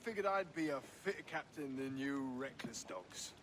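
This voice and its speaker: An actor playing a sly, sneering pirate turncoat who drawls and taunts smugly. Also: male voice, mid-range voice, animated, moderate pace, natural voice. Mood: smug, taunting. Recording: noisy sound behind the voice.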